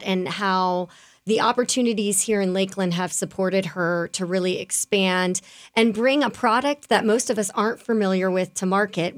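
Friendly woman guest host. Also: mid-range voice. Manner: friendly, laughs often. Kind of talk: TV talk show hosting.

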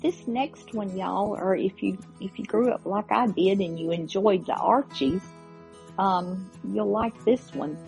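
A woman hosting a podcast, chatting informally and a little haltingly as she introduces things. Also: mid-range voice, vocal fry, darkish tone, faint music behind, rough recording. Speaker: podcast host. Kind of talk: casual, chatty show introduction.

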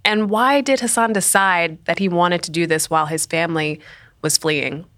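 A woman's voice: lowish voice, moderate pace, a bit bright. A woman interviewer asking curious, probing follow-up questions. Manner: inquisitive, prompting follow-up questions.

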